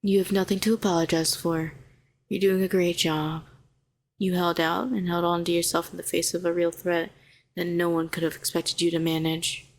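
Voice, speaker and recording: A woman's voice: lowish voice, little pitch movement, moderate pace, natural voice, rough recording. A woman playing a telepathic villain, a former hero who is sardonic yet tenderly protective, in an intimate audio roleplay. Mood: soothing, tender. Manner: sharp and sardonic, turning soothing and coaxing.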